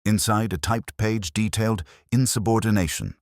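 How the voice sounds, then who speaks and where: A man narrating a mystery audiobook in a measured, literary storytelling manner. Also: on the low side, no echo, clean studio sound.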